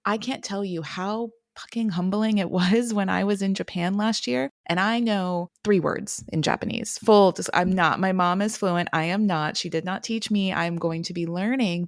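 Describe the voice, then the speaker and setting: A woman co-hosting a podcast, talking in a candid, energetic way that includes casual swearing. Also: on the low side, a little slow, few pauses, no echo, studio quality. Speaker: podcast co-host.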